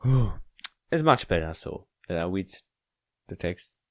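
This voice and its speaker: A man's voice: low voice, sing-song, a little slow, no echo, clean studio sound, soft background music. A man hosting a gaming video, reading a visual novel aloud and commenting on it.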